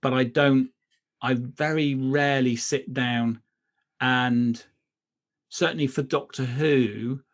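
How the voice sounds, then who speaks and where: A man's voice: mid-range voice, fairly even intonation, slow pace. A middle-aged man, a writer being interviewed, talking casually about his craft and often circling back to rephrase.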